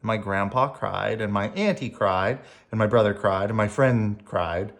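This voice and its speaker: A storyteller reading a children's picture book aloud in a gentle bedtime manner, adding spoken asides along the way. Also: sits low, a little slow. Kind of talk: expressive read-aloud with ad-libbed asides.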